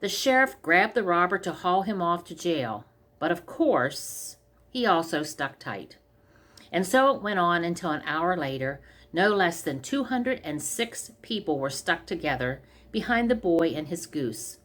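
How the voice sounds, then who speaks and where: A woman's voice: on the low side, expressive, measured pace, a bit bright. A woman reading a scripted comic fairy tale aloud as a storyteller. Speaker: story reader.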